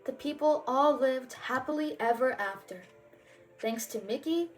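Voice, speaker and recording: A woman narrates a children's storybook in a fairly high voice. Her reading is very unhurried and expressive, with music playing behind her. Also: poor audio. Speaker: storybook reader and channel host. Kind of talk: storybook read-aloud narration.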